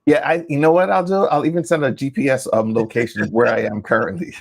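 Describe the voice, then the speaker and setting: A man's voice: medium pitch, steady tone, quick pace, vocal fry. A man speaking casually as a guest co-host on a talk show. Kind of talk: casual chat.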